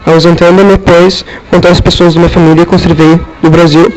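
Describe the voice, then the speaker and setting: A man's voice: a little high, flat delivery, brisk, a little mellow, faint music behind, lightly acted, rough recording. A man playing an old, weary emperor who delivers a dignified and sorrowful formal farewell in an amateur radio drama. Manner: formal, solemn farewell address.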